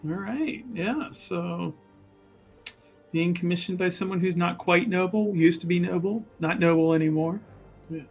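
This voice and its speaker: A man's voice: high-pitched, a little slow. A man playing a tabletop roleplaying game, talking casually as one of the players. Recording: music behind the voice. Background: music.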